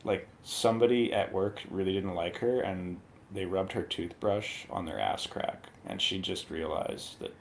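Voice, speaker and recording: A man's voice: sits low, steady tone, a little slow, hardly any pauses. A man on a movie review panel chatting casually and riffing jokingly on pop culture. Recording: clear background noise.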